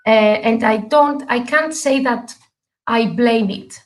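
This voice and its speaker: A middle-aged woman from Greece, a native Greek speaker and English teacher, giving an earnest live online talk with many pauses and fillers. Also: a little high. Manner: earnest, halting with frequent fillers.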